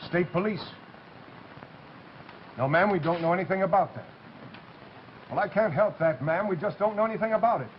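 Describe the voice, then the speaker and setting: Male actor as exasperated police desk man, clipped official manner, higher-than-average voice, dull noisy TV audio. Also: normal speed, long pauses, natural voice. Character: exasperated state police dispatcher answering phones. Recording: muffled, noisy old TV soundtrack.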